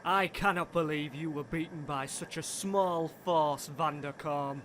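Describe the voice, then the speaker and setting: A man's voice: on the high side, measured pace, a little hiss or hum. A man playing a cold, sardonic commander in his late twenties, curt and direct, in an audio drama. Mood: scornful. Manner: calm, direct, curt, disdainful. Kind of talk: radio-play acting.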